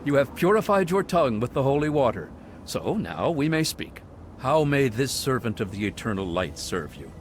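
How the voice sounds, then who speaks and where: An actor playing a serene, zealous male cult monk in video game dialogue, speaking in a soft, pious, sermonizing way. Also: medium pitch, big pitch swings, normal speed, slight background noise, close to an everyday voice. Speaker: game character.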